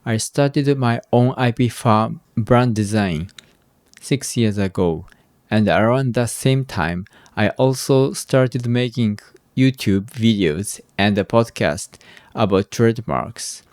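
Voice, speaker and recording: Low, unhurried man. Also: a little mellow, no echo, studio quality. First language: Japanese. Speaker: podcast host, scriptwriter. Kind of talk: podcast introduction.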